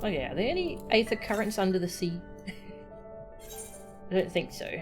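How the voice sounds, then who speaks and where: Woman streamer, casual chat with stutters and murmurs; mid pitch, faint game music behind. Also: very animated, normal speed. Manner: chatty asides with stutters and trailing murmurs.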